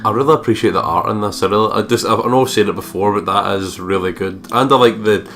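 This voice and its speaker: A man's voice: on the low side, quick pace, a bit bright. A chatty man co-hosting a let's play with casual, joking commentary. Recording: music behind the voice. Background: game music.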